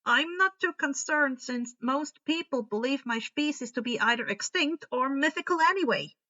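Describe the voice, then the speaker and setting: A woman voicing a calm, matter-of-fact mythical elf in a storybook reading. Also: high voice, normal speed, dull audio. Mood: unconcerned.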